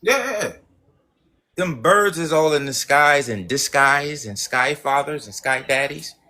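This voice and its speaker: A man's voice: fairly high pitch, expressive, slow, pushed and bright. A man hosting a livestream who talks in a rambling, preachy way, swearing often and restarting his sentences. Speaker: livestream host.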